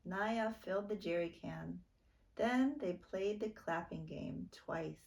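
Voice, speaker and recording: A woman reading a children's book aloud as a storytime narrator, expressive and deliberate. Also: on the low side, gravelly. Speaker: storytime reader.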